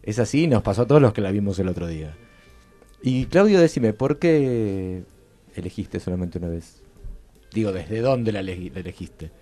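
Male co-host, Argentine accent, low-ish voice, joking manner, studio mic. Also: lively intonation, moderate pace, dark tone, faint music behind. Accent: Argentine Spanish. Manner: jokey, laughing while talking. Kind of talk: casual radio chat. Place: small radio studio. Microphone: studio mic with headphones.

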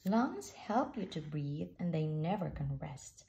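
A woman reads a rhyming children's book aloud at an unhurried pace, her voice dipping and rising expressively. Her tone is dark and mellow with a gravelly edge of fry underneath. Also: a little hiss or hum. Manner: slow, expressive read-aloud with lively pitch. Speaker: storybook reader.

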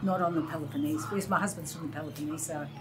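An Australian woman in her sixties tells stories with lively, mimicking energy. Her voice sits a little low. A soft hiss runs underneath the recording. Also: very fast. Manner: animated storyteller, acts out quoted voices. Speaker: interview guest. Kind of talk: casual reminiscing conversation. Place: indoor room by large windows.

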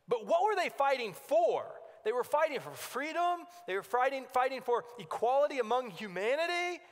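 A man's voice: very high voice, fairly bright tone. A man preaching a sermon as a pastor, earnest and exhorting, repeating phrases for emphasis. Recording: long hall reverb.

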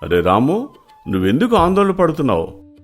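Elder brother for village cartoon tale, a man acting: mild, caring, pleading, raised pitch. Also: wildly swooping pitch, moderate pace, soft background music. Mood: reassuring. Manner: reassuring, pleading.

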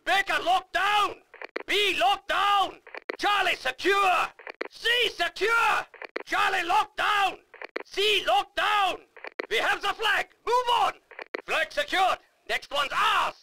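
An actor playing a fierce, fanatical German army officer who barks commanding battle announcements in a German accent for a game. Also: male voice, extremely high pitch, slow pace, shouting, background noise, heavily acted. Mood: triumphant, commanding. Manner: barking, emphatic, commanding. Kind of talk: shouted military game announcements.

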